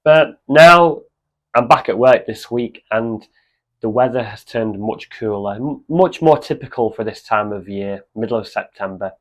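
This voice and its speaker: A man with a cold and a slightly croaky voice, chatting casually in a gardening vlog. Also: mid-range voice, a little slow. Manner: chatty, relaxed, with many fillers. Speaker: allotment vlogger. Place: outdoors at an allotment.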